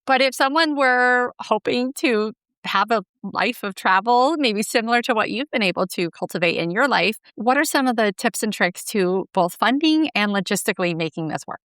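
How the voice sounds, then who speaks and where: Woman, podcast host, reflective, smooth clear voice, dry close recording. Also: a little high, expressive, moderate pace, a bit bright, no echo. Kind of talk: conversational interview questions.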